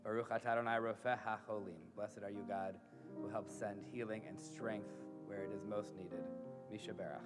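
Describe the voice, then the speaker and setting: Soft-spoken rabbi in a reverberant sanctuary. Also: male voice, lowish voice, steady tone, over loud music. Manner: gentle, halting, repeats words. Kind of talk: leading a prayer service. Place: synagogue sanctuary.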